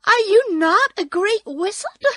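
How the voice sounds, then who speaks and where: A woman's voice: high-pitched, big pitch swings, takes it slowly, sharp tone, no echo, studio quality, pitched above the performer's own voice, full character voice. A woman voicing an earnest, indignant young girl who exclaims and insists, in a storybook reading. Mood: astonished.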